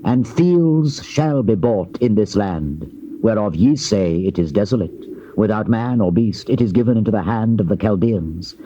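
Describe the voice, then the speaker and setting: An actor playing a fervent, solemn Old Testament prophet in a theatrical scripture drama, with grave, declamatory and measured archaic delivery. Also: male voice, lowish voice, expressive, warm tone, lightly acted. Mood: solemn promise. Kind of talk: dramatized King James scripture reading, theatrical. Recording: muffled old cassette tape with hiss.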